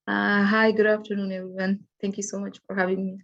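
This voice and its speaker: A woman from Afghanistan with an Afghan accent, telling her own story as a panelist in halting, simply phrased English. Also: medium pitch, little pitch movement, vocal fry, a bit bright. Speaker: panelist sharing personal experience. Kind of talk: personal account on a virtual panel. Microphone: video call. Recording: dull, lo-fi online call audio.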